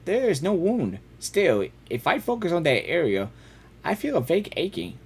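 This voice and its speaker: A woman game streamer giving casual, excitable live reactions and reading dialogue aloud, often repeating herself. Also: lowish voice, moderate pace, breathy edge.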